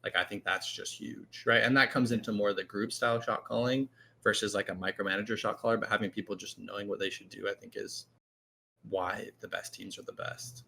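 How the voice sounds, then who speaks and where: A man guesting as a team shot caller, talking loudly in a rambling, filler-heavy casual way. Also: on the low side, fairly even intonation, a little fast, vocal fry, a little hiss or hum. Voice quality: loud voice. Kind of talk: casual panel chat.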